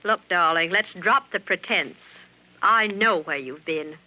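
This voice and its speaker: An actress playing a jealous, suspicious, insistent wife in theatrical 1940s radio melodrama style. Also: female voice, fairly low pitch, a little slow, muffled recording, natural voice. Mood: accusing.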